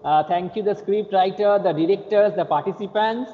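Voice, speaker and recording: Middle-aged male teacher, Indian accent, high-pitched voice, halting remarks, reverberant lo-fi call. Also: a little hiss or hum. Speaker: teacher hosting the session. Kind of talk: halting spoken closing remarks. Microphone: video call. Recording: echoing, dull video-call audio.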